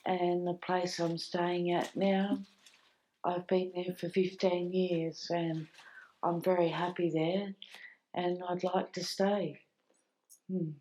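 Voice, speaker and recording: Australian woman, lowish and mellow voice, slow, plain and simple sentences. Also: level tone, poor audio. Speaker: speaker in a community film. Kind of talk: simple personal account.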